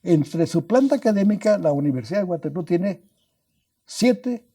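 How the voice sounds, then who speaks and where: An older man with a Mexican accent tells stories as a guest on an interview podcast. His voice sits a bit high but sounds deeply mellow and dark. He rambles, repeats himself, and tags his sentences with little questions. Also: lively intonation, moderate pace. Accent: Mexican Spanish. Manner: rambling, restarts words, adds tag questions. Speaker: guest scientist. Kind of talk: anecdotal storytelling in a podcast interview.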